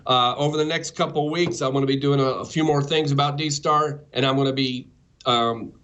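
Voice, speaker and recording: A man's voice: mid-range voice, fairly even intonation, brisk, fairly bright tone, highs cut off. A man giving a casual live demonstration as a presenter, enthusiastic and informal with his audience. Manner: enthusiastic, informal, addresses listeners as folks. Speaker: presenter of a ham radio segment.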